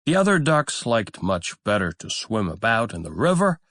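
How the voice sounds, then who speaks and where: A man narrating a fairy tale as a scripted audiobook, in a measured, expressive storytelling manner. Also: fairly low pitch, gravelly, no room sound.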